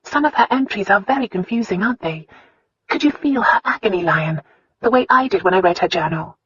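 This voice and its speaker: A woman voicing an earnest, breathy young wife. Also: lively intonation, brisk, fairly bright tone, dry recording. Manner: pleading, breathless, high and emotional. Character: earnest young wife, anxious but loving. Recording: dull, dry audiobook recording.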